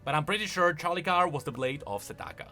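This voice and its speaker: A man's voice: on the high side, fast talker, bright, forceful tone, soft background music. A man hosting a video, giving off-the-cuff, emphatic commentary that explains game lore.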